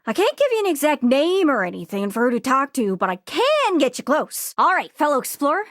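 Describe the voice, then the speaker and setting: An actor, a woman, playing an excitable, chatty little talking globe in a video game, gushing and impatient with folksy turns of phrase. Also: high-pitched, a little fast, no echo, studio quality. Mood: excited, helpful. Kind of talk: animated video game character acting.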